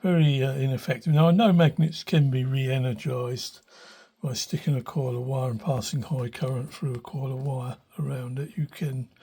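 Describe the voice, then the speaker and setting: Husky older man, hesitant and thoughtful. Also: medium pitch, steady tone, normal speed, mellow. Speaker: hobbyist radio restorer. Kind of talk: informal spoken demonstration, unscripted. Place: small cluttered room indoors. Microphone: handheld phone behind the camera.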